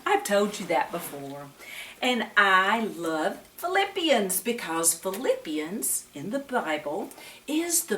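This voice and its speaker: A woman's voice: medium pitch, fairly bright tone. A woman talking and reading to young children as a storyteller and Bible teacher, in a sing-song way with questions put to the listeners. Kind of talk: read-aloud storytime talk to young children. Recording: roomy echo, distant microphone.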